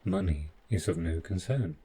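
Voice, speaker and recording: A man playing an overprotective, possessive robot butler in an audio roleplay, calmly menacing yet devoted, with formal, measured, clinical phrasing. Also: low voice, slight background noise, close to an everyday voice. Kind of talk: scripted audio roleplay addressed to the listener.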